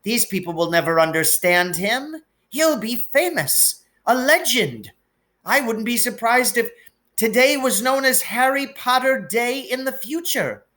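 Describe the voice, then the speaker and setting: Man putting on an anxious older witch, high-pitched voice. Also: animated, unhurried, pushed and bright, full character voice. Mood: incredulous. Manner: astonished, disapproving. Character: anxious, stern older witch professor, woman.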